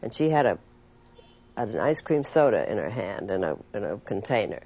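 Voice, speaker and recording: A man's voice: fairly low pitch, big pitch swings, normal speed, a little mellow, a little hiss or hum. An older man telling an anecdote from his past in an interview. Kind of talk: anecdotal reminiscence. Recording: dull, lo-fi interview audio.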